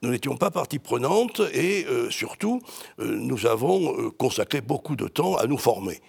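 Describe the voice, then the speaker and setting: Gravelly older man, faint Marseille lilt. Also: mid-range voice, very animated, darkish tone. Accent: slight Marseille. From Marseille, France. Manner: measured, hesitant with many fillers, dry wit. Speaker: priest and scholar, interview guest. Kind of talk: reflective interview answers.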